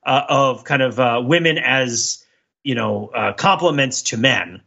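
A man's voice: mid-range voice, unhurried, creaky, pushed and bright, breathy edge, highs cut off. A man co-hosting a podcast, talking casually and analytically in a wordy, emphatic way and often checking in with "right?". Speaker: podcast co-host discussing a TV series. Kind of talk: casual analytical discussion among co-hosts.

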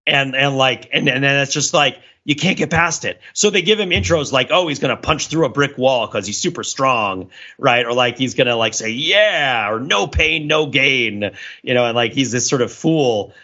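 Bright-voiced man chatting, slightly dull audio. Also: medium pitch, normal speed. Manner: rambling, animated explanations with frequent chuckles. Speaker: podcast host. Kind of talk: casual chat.

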